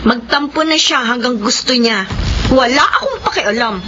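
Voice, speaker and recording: A woman's voice: fairly high pitch, normal speed, very noisy, natural voice. An actress playing a practical, headstrong young working mother with a Filipino accent in a radio drama. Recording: dull radio recording, crowd chatter behind.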